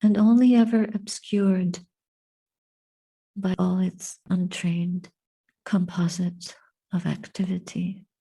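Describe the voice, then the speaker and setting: Female meditation teacher, lowish pitch, breathy and soft, contemplative phrasing with long pauses. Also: little pitch movement. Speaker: Buddhist nun and meditation teacher. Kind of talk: guided meditation and dhamma reflection.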